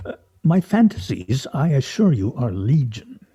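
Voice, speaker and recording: A man's voice: medium pitch, very animated, a little slow, mellow, highs cut off. An actor in a radio play playing a witty, pompous man nearing fifty, with ornate phrasing and wry, theatrical delivery. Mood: grandiose, playful.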